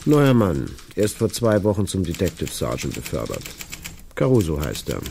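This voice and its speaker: An actor in a radio play playing a brisk, gruff editor-in-chief with a dark, gravelly voice. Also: male voice, sits low, huge pitch swings, a little hiss or hum, exaggerated voice. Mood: brisk, commanding. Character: gruff, brisk male newspaper editor-in-chief giving orders. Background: typewriter clatter.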